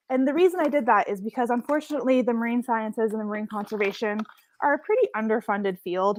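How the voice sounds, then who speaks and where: Young woman, from Hawaii, chatty and enthusiastic, fairly high voice, dry close recording. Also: no room sound. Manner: chatty, enthusiastic, laughs often. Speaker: marine scientist presenter. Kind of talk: casual online workshop presentation.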